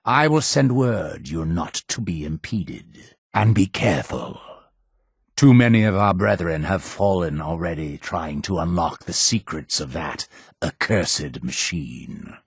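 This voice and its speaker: A pious, imperious cult leader for a video game. His low voice swings widely in pitch through slow, solemn oratory full of dramatic pauses. It is husky and gravelly, guarded yet zealous. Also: animated, no room sound, studio quality, exaggerated voice. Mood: stern, guarded. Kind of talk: video game character dialogue.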